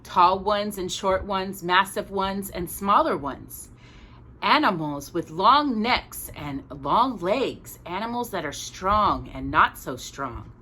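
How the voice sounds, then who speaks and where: A woman reads a children's storybook aloud in a bright, forward voice. She takes her time and speaks expressively, as if sharing each page with a young listener. Her pitch sits in a middle range for a woman. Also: slow, husky. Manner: expressive, with small interjected asides to a child listener. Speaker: storytime reader. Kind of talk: children's picture book read-aloud.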